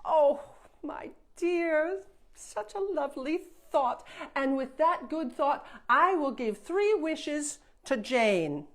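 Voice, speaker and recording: A woman's voice: high-pitched, animated, slow, voice pushed up. A woman voicing a tart, old-fashioned fairy who speaks in a prim, dry, scolding manner. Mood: coaxing.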